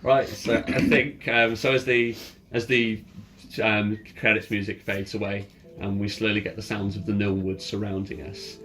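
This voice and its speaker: A man narrating a tabletop roleplaying game as game master, setting scenes in a halting, descriptive way. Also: on the low side, flat delivery, fairly bright tone. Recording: ambient music behind the voice. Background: ambient background music.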